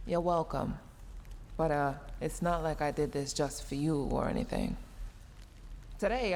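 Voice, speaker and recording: A woman's voice: fairly low pitch, darkish tone, long reverb tail, slight background noise. A woman voicing a tsundere mech engineer in a sci-fi audio roleplay, sarcastic and exasperated, scolding while secretly caring. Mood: grumpy, brusque. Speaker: roleplay voice performer.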